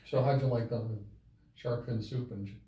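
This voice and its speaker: A man's voice: mid-range voice, steady tone, normal speed, echoey room, far from the mic, dull audio. A man interviewing a guest casually, mostly with short acknowledgments and brief questions.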